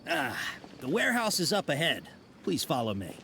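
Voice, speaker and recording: Wildly animated, courteous shady merchant. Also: male voice, a little high, moderate pace, a little hiss or hum, full character voice. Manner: courteous, salesmanlike, theatrical. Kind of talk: video game voice acting.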